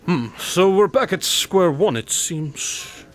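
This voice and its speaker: A man playing a confident, commanding detective in a radio drama, deliberate and dismissive in manner. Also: high voice, lively intonation. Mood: musing.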